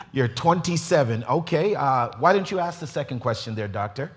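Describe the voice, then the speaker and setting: A man's voice: medium pitch, animated, moderate pace, big-room sound. A middle-aged man hosting a faith talk show, joking and laughing as he welcomes his guest. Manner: jovial, laughing, warm teasing of his guest.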